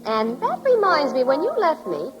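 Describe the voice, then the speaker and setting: A woman's voice: sits high, wildly swooping pitch, moderate pace, highs cut off, music in the background. A woman playing a wry, curious newspaper reporter with teasing delivery, 1940s radio style.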